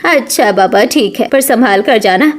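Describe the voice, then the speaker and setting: A woman's voice: fairly high pitch, animated, moderate pace, voice pushed down, close to an everyday voice. A woman voicing an elderly, worried mother-in-law who scolds with care in a family cartoon. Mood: fretful.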